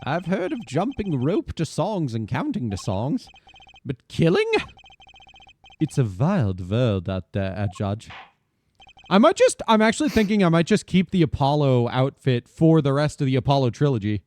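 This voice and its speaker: A man's voice: on the high side, wildly swooping pitch, normal speed, a little mellow, slight background noise. A man chatting playfully as a streamer while playing a game. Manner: playful, joking. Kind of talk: casual chat while gaming.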